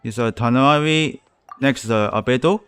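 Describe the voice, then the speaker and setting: A man doing casual let's play commentary on a live stream, talking in short, halting phrases. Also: mid-range voice, a bit bright, soft background music.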